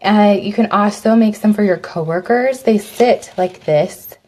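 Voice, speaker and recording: A woman with a cold and a slightly raspy voice, chatting through a live step-by-step craft demo, her voice dropping off as she leans away from the mic to work. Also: mid-range voice, unhurried, a little mellow, low-quality recording. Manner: chatty, step-by-step instructions with fillers. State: hoarse from a cold. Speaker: craft host.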